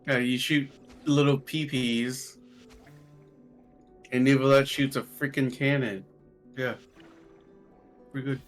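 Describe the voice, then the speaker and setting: A man's voice: medium pitch, steady tone, slow, pauses often, faint music behind. Offhand young guy chatting about games. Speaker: streamer's friend on voice chat. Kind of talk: casual gaming chat.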